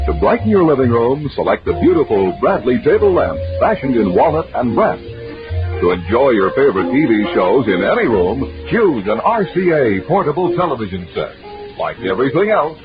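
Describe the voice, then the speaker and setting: Male voice-over for vintage commercial, lively smooth read, jingle music under dull audio. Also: mid-range voice, moderate pace. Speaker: commercial announcer. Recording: dull old ad recording with music.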